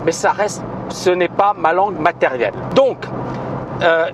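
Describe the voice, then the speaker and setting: A man's voice: sits high, unhurried, background noise. A man who founded a trading school makes an emphatic video announcement, repeating himself and hesitating often. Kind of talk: spoken video announcement to viewers.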